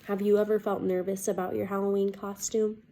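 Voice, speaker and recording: A woman reading a children's picture book aloud as a teacher, expressive and deliberate, pausing to put questions to young listeners. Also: mid-range voice, a little hiss or hum.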